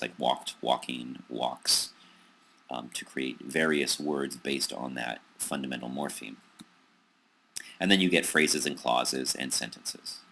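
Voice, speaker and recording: A man with an American accent giving a recorded psychology lecture over slides, pausing often with ums and restarts. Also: rumbling low, creaky, sharp tone, husky. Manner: hesitant, many ums and restarts.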